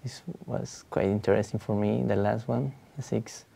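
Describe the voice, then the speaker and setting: Young man, Peruvian Spanish accent, halting, gravelly voice, mellow tone. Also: lowish voice, fairly even intonation. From Peru. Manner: halting, searching for words. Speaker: exam candidate. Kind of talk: spoken exam answers.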